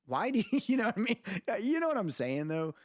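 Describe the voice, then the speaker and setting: Male podcast host, lively swooping intonation, dry sound, opinionated, slangy, conversational. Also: high-pitched, fast talker, no echo. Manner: opinionated, slangy, repeatedly seeks agreement with tag questions. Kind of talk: casual podcast chat.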